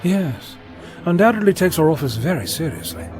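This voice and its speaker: Curious male traveller, played by an actor, courteous and analytical, very varied pitch, music behind him, audio drama. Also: sing-song, normal speed, darkish tone. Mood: intrigued. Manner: inquisitive, courteous.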